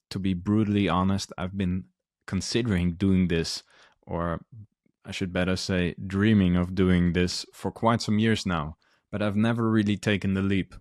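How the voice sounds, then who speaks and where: A man's voice: low-pitched, a little mellow, dry recording, clean studio sound. A man with a Dutch accent hosting his own podcast, talking earnestly and steadily with frequent ums and uhs. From Netherlands. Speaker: podcast host, physician. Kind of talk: solo podcast monologue.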